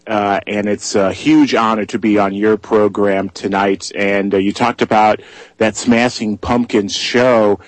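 A hoarse young man in his twenties speaks as an eager radio guest, filling his talk with "uh." His voice is somewhat low and sounds dry and close. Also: dry recording, rough recording. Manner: eager, many "uh" fillers. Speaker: guest, freelance journalist. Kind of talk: radio interview.

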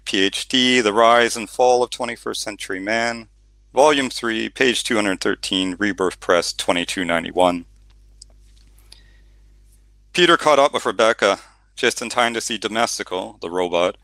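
A man's voice: medium pitch, vocal fry, a bit bright, dry recording. A middle-aged man, a novelist, talking casually about his book in an interview with many fillers and restarts, then reading a passage aloud. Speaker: novelist guest.

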